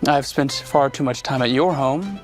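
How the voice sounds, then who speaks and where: Man acting a commanding duke, medium pitch, dry sardonic delivery, aloof, audio drama, music behind. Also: moderate pace, lightly acted. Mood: cool, commanding. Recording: music under the voice.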